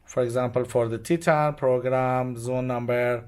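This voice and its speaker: A man with a medium-pitched voice demonstrates a product hands-on. He is a non-native English speaker and explains step by step, unscripted. His phrases are short and simple, with a halting flow. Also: steady tone, a little mellow, smooth. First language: not English. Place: indoors at a demo bench with devices.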